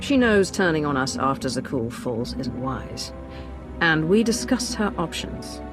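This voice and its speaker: A commanding female game character, shrewd and in control. Her voice is low and moves widely in pitch, with music underneath. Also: sing-song, measured pace. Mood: shrewd, assertive. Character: commanding, calculating female Sith alliance leader. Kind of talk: video game acting.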